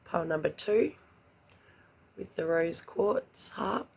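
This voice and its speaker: Slow, gravelly woman reading tarot. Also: lowish voice, lively intonation, darkish tone. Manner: chatty, murmurs card questions under her breath. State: congested after an illness. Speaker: tarot reader. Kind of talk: pick-a-card tarot reading.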